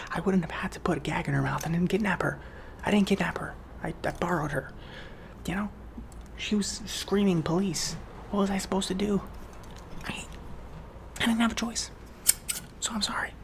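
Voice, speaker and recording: A man playing a friendly, awkward, down-on-his-luck barber on the run, rambling haltingly in an ASMR roleplay with a breathy whisper. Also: high-pitched. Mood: defensive. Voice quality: breathy whisper with some fry.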